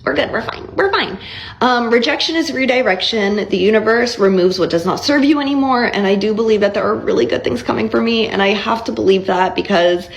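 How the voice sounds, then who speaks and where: A young woman talks to camera in a personal vlog, earnest and close to tears. Her voice is froggy and hoarse, as if it is giving out. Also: a little high, a little fast, rough recording. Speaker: vlogger. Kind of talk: confessional vlog.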